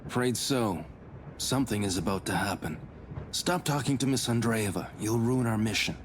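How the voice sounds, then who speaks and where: An actor playing an anxious, pious gentleman in a visual novel, formal and earnestly pleading. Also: fairly low pitch, normal speed, slight background noise, close to an everyday voice. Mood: worried, formal. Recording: voice over prominent background music.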